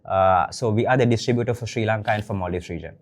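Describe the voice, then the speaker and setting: A man's voice: on the low side, quick pace. Explanatory male sales head. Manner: explanatory, lists items. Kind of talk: roundtable discussion.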